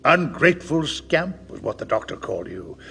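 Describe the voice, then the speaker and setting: A man's voice: mid-range voice, big pitch swings, moderate pace, gravelly, bright, forceful tone, a little hiss or hum, heavily acted. A man playing a wily old pirate captain in an audio adventure, mock-friendly and menacing, talking in a sly rustic seafaring dialect. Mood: mock-friendly, needling.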